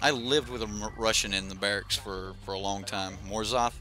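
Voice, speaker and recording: A man's voice: on the low side, fairly even intonation, moderate pace, sharp tone, faint music behind. A young man casually commentating his own card game on a live stream, thinking aloud with lots of fillers and restarts.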